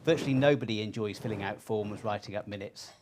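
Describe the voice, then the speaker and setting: A man presenting research findings in a semi-scripted style, measured and pausing between phrases. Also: fairly low pitch, background noise.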